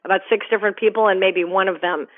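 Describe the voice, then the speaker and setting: A woman giving brisk, enthusiastic movie reviews as a guest calling in by phone. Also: mid-range voice, fairly even intonation. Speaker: film critic guest. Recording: tinny phone line.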